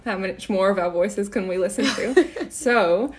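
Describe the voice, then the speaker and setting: A woman's voice: medium pitch, a little slow, slight background noise. A woman with a North American accent hosting a podcast interview in a casual, conversational style.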